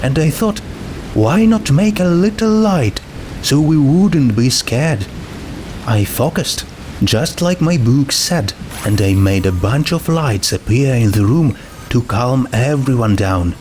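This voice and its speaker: A man's voice: mid-range voice, lively intonation, takes it slowly, a little mellow, a little hiss or hum, voice pushed up. A man voicing an earnest, homesick teenage girl who pleads hesitantly and wistfully in an amateur story reading. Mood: nostalgic.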